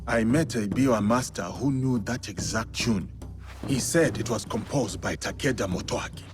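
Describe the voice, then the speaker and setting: A man's voice: medium pitch, normal speed, over music, lightly acted. A man playing a solemn, courteous warrior in a video game, speaking in a formal, restrained way. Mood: resolute. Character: solemn, courteous male warrior seeking answers. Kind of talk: dramatic video game cutscene acting.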